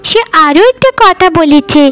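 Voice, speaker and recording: A woman's voice: sits high, moderate pace, fairly bright tone. A woman voicing an innocent, earnest little village girl in a cartoon, with a childlike manner. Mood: hurt. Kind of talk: Bengali cartoon dubbing.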